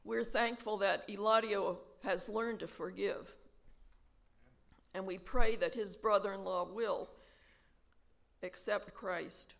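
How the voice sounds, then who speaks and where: A woman presenting news of a translation mission to a church gathering, reading testimonies in a slow, earnest way. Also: mid-range voice, steady tone, gravelly, a bit bright.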